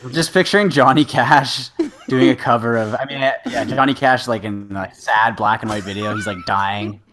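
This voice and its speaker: Man, podcast co-host, medium pitch, halting, rambling, informal joking chat. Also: a bit bright.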